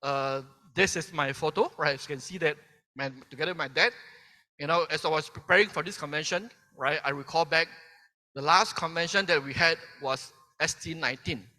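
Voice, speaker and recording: A man's voice: on the high side, brisk, bright, forceful tone. A man in his fifties from Malaysia giving a motivational convention speech, tagging lines with "right?" and asking the crowd questions. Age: 50s. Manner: peppers sentences with "right?", asks the crowd questions. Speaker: keynote speaker. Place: large convention hall. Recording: long hall reverb from a stage.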